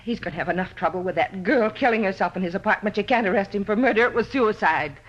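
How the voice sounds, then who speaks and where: A woman's voice: medium pitch, fast, lightly acted. An actress playing a possessive, middle-aged faded female star in a 1950s radio drama, pleading and justifying herself bitterly. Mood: desperate protest. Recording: dull vintage radio broadcast recording.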